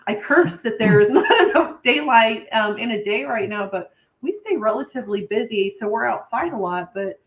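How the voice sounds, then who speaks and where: A woman's voice: a little high, animated, moderate pace. An American woman chatting casually and enthusiastically as a livestream co-host, with a giggly, talkative manner. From Indiana, United States.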